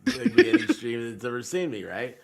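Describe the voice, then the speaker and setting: A man talking casually as a live streamer, telling stories with big swings between loud and quiet. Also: mid-range voice, wildly swooping pitch, brisk, gravelly, a crowd in the background. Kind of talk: casual chat on a livestream.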